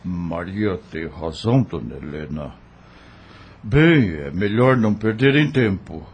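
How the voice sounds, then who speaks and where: Man acting a slow, deep-voiced, solemn resistance helper. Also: expressive, husky, full character voice. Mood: solemn, urging. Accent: Brazilian. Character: grave, kindly male helper of wartime fugitives. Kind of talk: radio drama acting.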